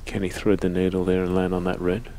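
A man calmly analysing the shots as co-commentator on a live snooker stream. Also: sits low, fast talker. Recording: noisy live stream audio.

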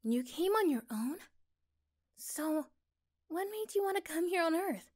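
A woman playing a caring, curious young girlfriend in a soft-spoken, tender ASMR roleplay, breathy at times. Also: very high-pitched, expressive, measured pace, voice pushed up. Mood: surprised, concerned.

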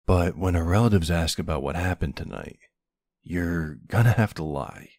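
A man playing a tender but commanding mafia boss husband in an ASMR roleplay, with a low, gravelly, husky voice. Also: moderate pace, a little mellow, no room sound, studio quality. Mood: soft, serious.

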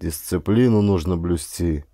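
A man's voice: sits low, a little slow, mellow, no room sound, pitched below the performer's own voice. A man voicing a stern, irritable but shrewd aging colonial governor who speaks in a commanding, old-fashioned official way for an audiobook. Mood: stern deliberation.

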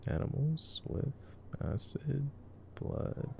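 A man's voice: mid-range voice, sing-song, very slow, creaky, a little hiss or hum. A young man giving casual ASMR movie commentary in a laid-back, joking, murmured way. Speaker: ASMR boyfriend roleplayer commenting on a film.

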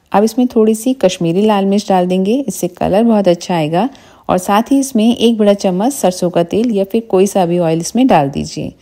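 A middle-aged woman hosting a cooking show from her home kitchen, calmly explaining each step of a recipe and often inviting the viewer to look. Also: mid-range voice. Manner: calm, patient explaining with frequent "dekhiye" prompts. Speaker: cooking channel host.

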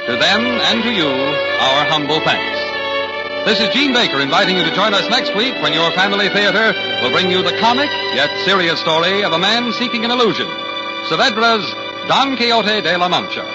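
A man's voice: high voice, animated, moderate pace. An American man announcing a 1940s radio programme in a formal, polished, scripted style. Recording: muffled old 1940s radio recording. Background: loud music.

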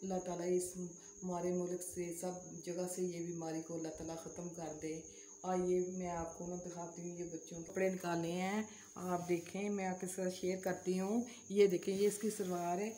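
A woman's voice: fairly low pitch, mellow. A middle-aged Pakistani woman chatting casually for a home vlog in a homely, repetitive way with pious phrasing. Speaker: vlogger. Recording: roomy echo, faint background hiss.

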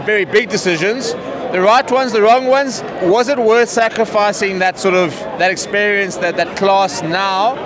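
A man's voice: high-pitched, moderate pace. A man in his thirties, a sports reporter interviewing fans with chatty, upbeat restarts, outside a stadium at night on a handheld mic. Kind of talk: roving fan interview. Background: crowd.

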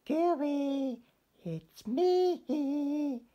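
A man voicing a small, eager young male fish in a storybook, calling out in squeaky exclamations. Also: drawn-out words, mellow, muffled recording, full character voice. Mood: excited.

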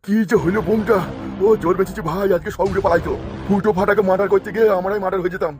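Man voicing high, rapid-fire brash cartoon troublemaker. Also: fairly even intonation, faint music behind, natural voice. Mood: panicked, baffled. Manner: bossy, crude, hasty. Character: brash, crude male cartoon troublemaker, gullible schemer. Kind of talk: comic cartoon dubbing.

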